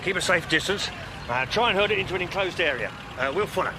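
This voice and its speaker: An actor playing a blunt, harried police sergeant with a British accent, barking orders in a radio drama. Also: male voice, sits high, expressive, fast talker, noisy background. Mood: urgent, commanding. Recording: radio drama with loud soundtrack.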